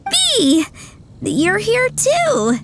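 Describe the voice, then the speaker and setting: A woman's voice: extremely high pitch, wildly swooping pitch, extremely slow, music in the background, exaggerated voice. A woman voicing a sweet, polite young flower shop girl with a chirpy, explanatory manner in an anime game. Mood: surprised. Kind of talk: anime game dialogue acting.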